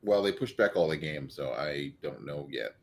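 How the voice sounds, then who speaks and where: A man with a very low, deep voice co-hosting a casual podcast. He speaks loosely with plenty of fillers and laughs easily. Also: animated, normal speed, gravelly, slight background noise. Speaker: podcast co-host. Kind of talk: casual chat.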